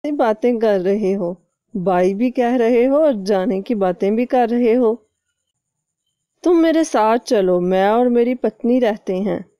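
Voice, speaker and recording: A woman's voice: on the high side, unhurried, mellow, no echo, lo-fi sound, lightly acted. A woman voicing a helpful, gullible male crow in a cartoon, with a trusting, conversational manner.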